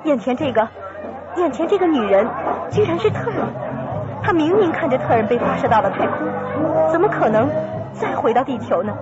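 A woman's voice: high-pitched, expressive, normal speed. A woman giving dramatic scripted narration for a radio drama. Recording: muffled audio, music and crowd behind.

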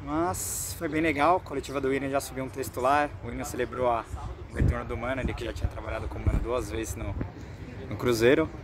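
A young Brazilian man talks in a medium-pitched voice as an informal sports reporter for a fan channel. He goes haltingly, repeating words as he goes. He is outdoors on a phone held at arm's length, with clear ambient noise around him. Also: steady tone. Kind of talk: informal football vlog report to camera. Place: outdoors on a football training pitch. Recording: outdoor noise with distant vehicles.

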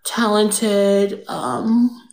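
A woman's voice: mid-range voice, drawn-out words, a little mellow. Casting: a young woman as a spoiled, shameless rich girl, airy drawl, roomy phone audio. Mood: smug, airy. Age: young adult. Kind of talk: improvised comedic character on a livestream. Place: indoor room with pink lights. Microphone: phone front camera.

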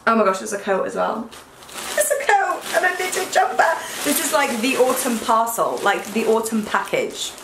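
A young woman with a croaky, hungover voice, chatting excitedly in a casual try-on haul vlog. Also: fairly high pitch, moderate pace, background noise. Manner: excitable and gushing. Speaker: fashion vlogger. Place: small bedroom, indoors. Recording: echoey room.

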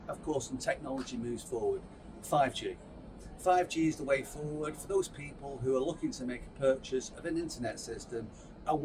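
A man in his fifties presenting and demonstrating a product kit at a trade show stand, filmed on a close phone camera. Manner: upbeat sales pitch, handling kit while explaining. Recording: trade show floor with clear background noise.

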